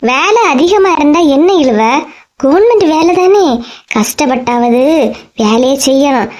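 A woman's voice: sits high, lively intonation, unhurried, darkish tone. A woman voicing a boastful, combative middle-aged housewife in a comedy cartoon, emphatic, with a Kanyakumari Tamil accent. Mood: excited, proud.

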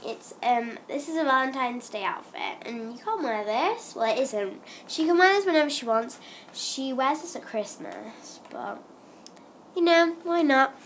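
A woman's voice: high-pitched, lively intonation, a little slow, a little hiss or hum. A young girl vlogging at home, chatting in a rambling way with lots of ums while she has a cold. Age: child. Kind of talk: casual chatty vlog.

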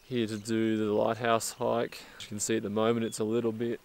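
A young man talking to a handheld camera in a relaxed, enthusiastic, casual travel-vlog style, outdoors and in a car. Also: on the low side, fairly quick, gravelly, a little hiss or hum. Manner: relaxed, enthusiastic, chatty with fillers. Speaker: travel vlogger. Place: outdoors on windy cliffs and inside a car. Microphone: camera-mounted mic, held at arm's length.

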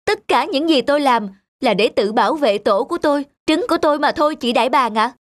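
A woman's voice: high-pitched, expressive, brisk, dry recording, clean studio sound. A woman voicing a small, anxious mother songbird, earnest and pleading, in a cartoon fable. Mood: earnest, defensive. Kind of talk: cartoon animal fable acting.

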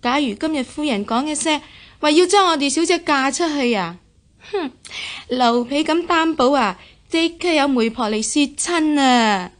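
A woman acting a clever, glib young maidservant in a Cantonese radio drama, chatty and flattering. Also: sits high, moderate pace. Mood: cheeky flattering.

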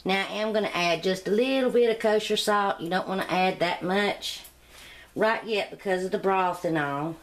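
A woman's voice: mid-range voice, normal speed. A middle-aged woman, chatting homily through a cooking demonstration in her home kitchen. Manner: chatty, homey, rambling asides. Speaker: cooking channel host. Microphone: camera mic, speaking off camera.

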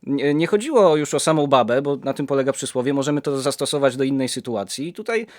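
A man's voice: medium pitch, expressive, fast talker, no echo. Young guy just chatting and explaining stuff, colloquial, really dry room sound. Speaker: participant in a community audio drama project. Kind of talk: casual reflective discussion.

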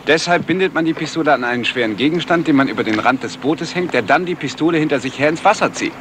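An actor playing a self-assured, pedantic detective sergeant who lectures emphatically, in the style of a 1970s radio crime play. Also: male voice, a little high, fast talker, natural voice. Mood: confident. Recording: faint noise, outdoor boat ambience.

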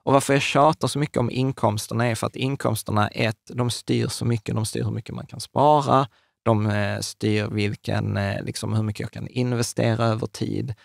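A man's voice: fairly low pitch, steady tone, creaky, no echo. A man in his thirties co-hosting a podcast, explaining with enthusiasm in a casual chat, speaking into a table studio mic in a small room. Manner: enthusiastic, restarts and fillers, gestures while talking. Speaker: podcast co-host. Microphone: large studio mic on a table stand.

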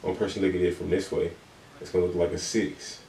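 Deep, gravelly young man rambling casually. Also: quick pace, roomy sound, distant mic. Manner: relaxed, meandering, lots of fillers and "you know". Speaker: reaction video host. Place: small indoor room.

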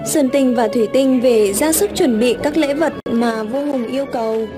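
A woman's voice: high voice, moderate pace. A woman storyteller reading scripted narration of a tale for children. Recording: loud music under the voice. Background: music.

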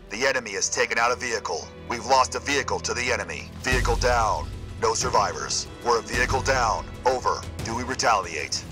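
An actor playing a brisk, confident military gunship pilot in a video game, speaking in clipped radio callouts. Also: male voice, medium pitch, lightly acted. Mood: matter-of-fact, dutiful. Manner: clipped, military, addressing superiors as sir.

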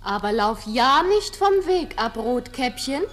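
A woman playing a caring, admonishing mother in a fairy-tale audio play, instructing in a measured way. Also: on the high side, very animated, soft background music. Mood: caring, firm.

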